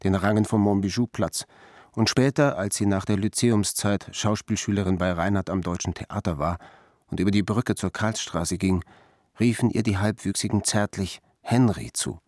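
Low-voiced man narrating literary prose. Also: fairly even intonation, darkish tone, no echo, studio quality. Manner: measured, declarative.

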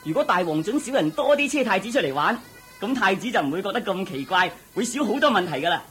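A man playing a carefree, servile royal charioteer in a Cantonese audio drama, excitable and chirpy. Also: high-pitched, fast, faint music behind. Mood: soothing, cheery.

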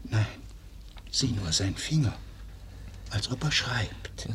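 An actor playing a courteous, concerned husband in a German radio play, with a husky voice. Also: male voice, fairly low pitch, very animated, slow pace, a bit bright, whispered, heavily acted. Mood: subdued, concerned.

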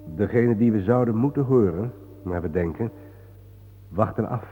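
An actor playing a grave, world-weary man guiding his friend through war cemeteries in a solemn, measured, sardonic radio-play manner. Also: on the low side, lightly acted. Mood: somber. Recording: muffled phone-like old radio recording, reverberant.

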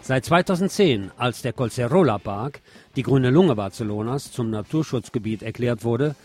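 A man's voice: mid-range voice, animated, moderate pace, darkish tone. A man presenting scripted news commentary as a radio-style narrator.

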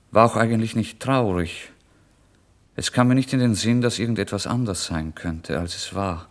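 A starving, feverish man with a lowish voice, proud and volatile. He tells his own story as a dramatic literary radio monologue. His delivery is theatrical and intense, able to move between hushed confession and forceful declaration. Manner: theatrical, intense, swinging from hushed to loud outbursts. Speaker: first-person narrator.

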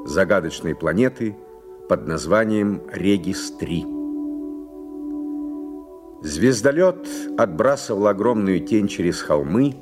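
A man narrating a science-fiction audio drama in a measured, solemn storytelling manner. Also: deep voice.

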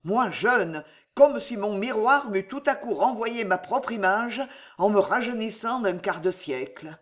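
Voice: mid-range voice, expressive, measured pace, fairly bright tone. A reader voicing a frightened, devoted court astrologer in an audiobook, grave and hesitant. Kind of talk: audiobook character reading.

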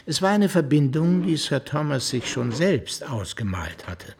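Very lively male storyteller. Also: fairly high pitch, unhurried, creaky, a little hiss or hum, exaggerated voice. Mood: expressive storytelling. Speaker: narrator. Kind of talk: expressive literary audio drama narration.

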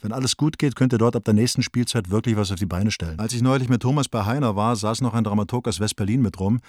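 Actor plays encouraging bohemian male letter writer, radio drama; lowish pitch, colloquial, dry studio sound. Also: fast talker, dry recording, lightly acted. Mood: urging, familiar. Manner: colloquial, urging. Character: brisk, encouraging bohemian man writing to woman friend. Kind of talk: radio play letter monologue.

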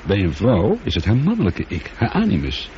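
An actor the playing a lonely, prickly space traveller in a radio play, speaking emphatically and with some exasperation. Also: male voice, fairly low pitch, huge pitch swings, fairly quick, a little mellow. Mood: prickly, wry. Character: lonely, prickly male space traveller arguing with his computer. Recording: dull noisy old radio play recording.

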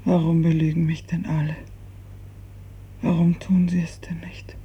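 An actress playing a gravely ill, bedridden woman in a 1950s radio drama, fearful and imploring, her weak, halting voice often sinking to a whisper. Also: sits low, flat delivery, dark tone, heavily acted. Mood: anguished, accusing. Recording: muffled 1950s radio recording.

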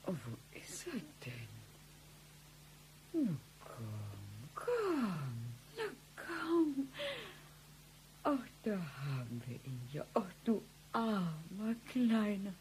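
A woman's voice: fairly low pitch, wildly swooping pitch, drawn-out words, darkish tone, a little hiss or hum, exaggerated voice. An actress playing a flustered, apologetic woman client in a radio drama, hesitant and full of exclamations. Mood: startled, flustered.

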